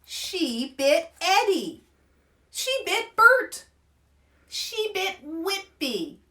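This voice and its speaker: A woman hosting story-time for children, reading aloud in a playful, expressive way and chatting with young viewers. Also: extremely high pitch, extremely slow, distant mic. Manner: playful, expressive, asks listeners questions.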